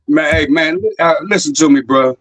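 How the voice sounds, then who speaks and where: A man hosting a casual online chat, speaking in a rambling, halting way with many fillers. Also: a little high, a bit bright, no echo. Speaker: host. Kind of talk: casual chat on a live stream.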